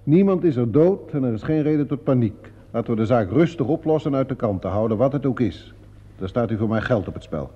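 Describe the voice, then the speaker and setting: An actor playing a self-assured, impatient theatre producer in a radio play, brusque and sardonic. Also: male voice, medium pitch, expressive, fairly quick, dark tone, slightly rough. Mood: brusque, defensive. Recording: dull, muffled old radio recording.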